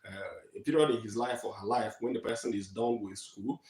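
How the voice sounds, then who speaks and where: A lower-voiced man from Nigeria presents to students over a video call. He speaks in a measured, explanatory way with frequent fillers, and the sound carries an echoey room. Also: a little mellow. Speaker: guest speaker. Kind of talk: online presentation with slides.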